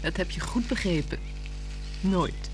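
A woman's voice: fairly low pitch, measured pace, natural voice, low-quality recording. A woman acting a teasing, whimsical and dreamy mistress in a 1960s radio drama. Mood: coy. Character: playful, mysterious, dreamy mistress in the woods. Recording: 1960s radio play, music behind.

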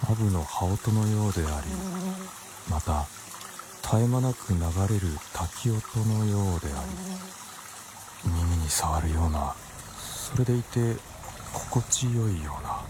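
An actor playing an ailing, dreamy doctor and inventor with theatrical, rapt delivery in a radio drama. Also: male voice, low-pitched, animated, a little slow, pauses often, background noise. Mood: dreamy wonder. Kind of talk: Japanese radio drama acting.

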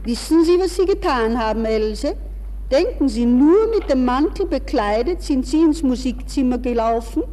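A woman's voice: on the high side, dark tone, natural voice. An actress playing a sly, accusing woman with a pointed, insinuating delivery in a 1960s radio play. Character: sly, accusing woman, coolly taunting a rival. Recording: reverberant 1960s radio recording.

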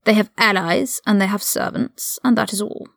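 A woman voicing an older aristocratic male wizard prisoner, haughty and mocking, with measured, condescending, formal speech in an audiobook-style story reading. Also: mid-range voice, steady tone, vocal fry, fairly bright tone, no echo, clean studio sound. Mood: quietly menacing.

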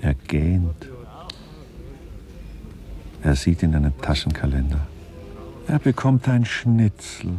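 An actor as a detached narrator, giving clipped, deadpan literary narration in a radio play. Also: male voice, extremely low pitch, takes it slowly, pauses often, soft background music, full character voice. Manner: deadpan, clipped, fragmentary phrasing. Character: detached male narrator minutely observing people.

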